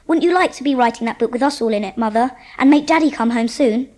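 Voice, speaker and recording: A woman's voice: fairly high pitch, fast, darkish tone, lightly acted. A woman playing an eager, earnest Edwardian schoolboy in a dramatised audiobook. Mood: wistful.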